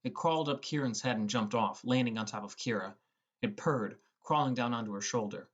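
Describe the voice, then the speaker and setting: A man narrates a first-person audiobook story. His voice sits at a middle pitch, delivering scripted prose. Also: brisk, lo-fi sound.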